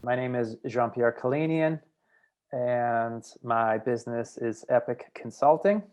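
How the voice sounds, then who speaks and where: A man from Massachusetts who runs a consulting business, introducing himself slowly. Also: medium pitch, a little mellow. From Massachusetts, USA. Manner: slow and deliberate. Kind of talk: interview introduction.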